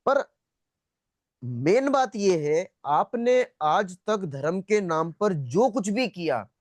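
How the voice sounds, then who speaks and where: High-voiced man teaching online. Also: big pitch swings, takes it slowly, no room sound. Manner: conversational, repetitive, checking understanding often. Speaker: teacher of an online spiritual class. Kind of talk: interactive lecture, questioning students. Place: online video call.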